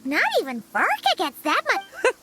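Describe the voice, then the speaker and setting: A woman voicing a small, childlike fairy companion in a video game, curious and excitable, with a squeaky voice. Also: normal speed, heavy background noise, exaggerated voice. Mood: surprised. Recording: game music behind the voice.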